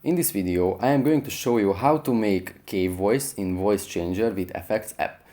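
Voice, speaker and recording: A man's voice: fairly low pitch, normal speed, warm tone. A man hosting a step-by-step app tutorial, explaining things in an instructional way. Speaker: tutorial host.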